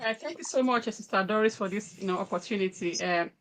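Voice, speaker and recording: A woman from Nigeria speaking haltingly with many fillers as a guest on a video call. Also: medium pitch, a bit bright, slight background noise. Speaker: guest on live stream.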